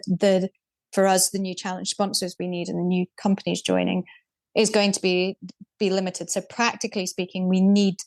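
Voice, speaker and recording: A woman speaking as a podcast guest, explaining things in a measured way with frequent restarts. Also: mid-range voice, darkish tone. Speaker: guest, deputy programme director. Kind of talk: casual podcast conversation.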